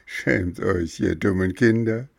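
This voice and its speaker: A man voicing a patient, motherly storybook fairy who admonishes gently in a measured way. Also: low voice, creaky, no echo, studio quality, heavily acted. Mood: admonishing. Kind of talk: storybook character voice.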